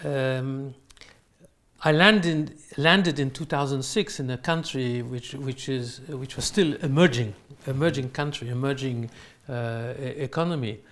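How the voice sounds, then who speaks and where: A man with a French accent answering interview questions in halting, unscripted non-native English. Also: mid-range voice, fairly bright tone. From France. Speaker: interview guest, real estate company owner. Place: in his apartment.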